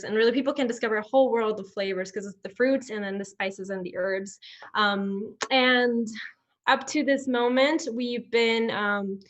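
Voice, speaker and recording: Young woman from ecuador on a video call pitching her startup, highish voice, kinda halting. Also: a bit bright. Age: young adult. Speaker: startup founder, guest. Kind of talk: informal product pitch over video call. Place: indoor room at home. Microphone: laptop video call.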